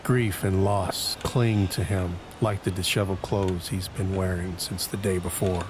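A man narrating an audio drama in a deliberate, brooding way, pausing between short phrases for dramatic weight. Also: low voice, fairly even intonation, noisy background.

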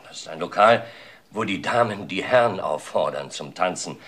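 A man's voice: deep voice, animated, moderate pace, a bit bright, a little hiss or hum. An actor playing a self-important male boss who blusters evasively under police questioning in a German crime radio play. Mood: defensive.

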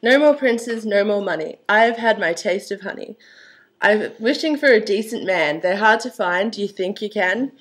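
A woman's voice: mid-range voice, lively intonation, normal speed, lightly acted. A woman voicing a bossy, fed-up young heroine in a storybook fairy tale, emphatic and demanding. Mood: earnest.

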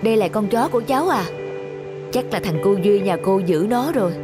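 A woman playing a gentle but firm mother in a cartoon, explaining and admonishing in a measured way. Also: medium pitch, animated, over music, lightly acted. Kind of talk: Vietnamese cartoon dubbing.

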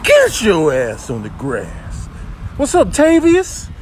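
A man voicing a cocky, street-tough animal bully in a comic voiceover, with drawn-out, slangy taunts. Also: sits high, huge pitch swings, darkish tone, slight background noise, exaggerated voice. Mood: taunting, menacing.

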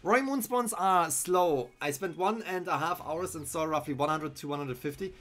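A man's voice: a little high, moderate pace, fairly bright tone. A young man with a German accent casually commenting live on his game while answering chat, speaking into a headset in a small room. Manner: chatty, thinking aloud, answers chat. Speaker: streamer.

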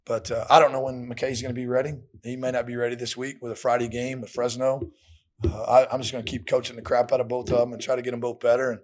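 Plain-spoken American football coach, middle-aged man. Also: medium pitch. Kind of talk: post-game press conference answers. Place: indoor press room at a podium. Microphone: podium microphones.

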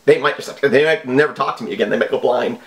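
A man's voice: mid-range voice, fast. A man in his thirties giving casual, offhand vlog commentary with a wry manner. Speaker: video host. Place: small home office.

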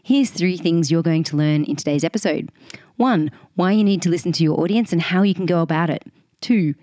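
Croaky-voiced woman hosting a podcast. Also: on the low side, darkish tone, no room sound, studio quality. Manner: upbeat, scripted intro then chatty questions. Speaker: podcast host. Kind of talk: podcast interview.

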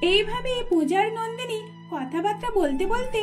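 A woman's voice: very high-pitched, lively intonation, moderate pace, music in the background. A woman narrating a scripted cartoon story.

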